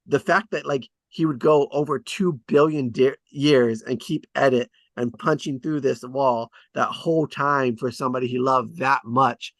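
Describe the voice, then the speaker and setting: A man's voice: mid-range voice, steady tone, moderate pace, hardly any pauses, a bit bright, no echo. Guy hosting a script read, rambling casually, midrange voice, really dry recording. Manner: hesitant, thinking aloud, conversational. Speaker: host of an online script table read. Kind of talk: casual rambling discussion of screenwriting.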